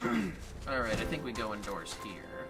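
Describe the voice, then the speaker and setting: A man's voice: medium pitch, huge pitch swings, measured pace. A man chatting casually on a gaming livestream, joking and swearing as he plays. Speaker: game streamer. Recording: music behind the voice.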